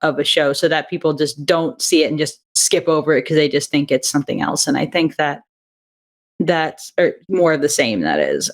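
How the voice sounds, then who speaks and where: A young adult woman, a guest on an interview over a video call, talking enthusiastically about her audio drama and thinking aloud with frequent restarts. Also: deep voice. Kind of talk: casual interview chat.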